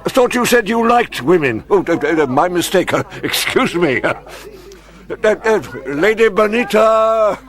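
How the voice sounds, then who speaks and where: Brief: a blustering drunk English expatriate gent, raised pitch, unhurried, radio play bully with jovial swagger. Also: male voice, animated, a bit bright. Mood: hearty, flustered. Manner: blustering, slurring old-boy talk. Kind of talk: radio drama acting.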